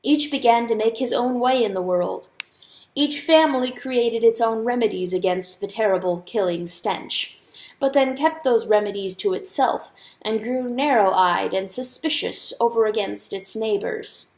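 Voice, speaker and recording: High-voiced woman reading in an echoey room. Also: normal speed. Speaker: audiobook narrator. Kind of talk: dramatic scripted story narration. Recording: echoey, roomy sound.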